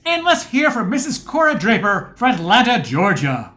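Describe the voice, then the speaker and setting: An upbeat announcer from old-time radio ads played by a woman. The delivery is bright and swings widely in pitch. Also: wildly swooping pitch, normal speed.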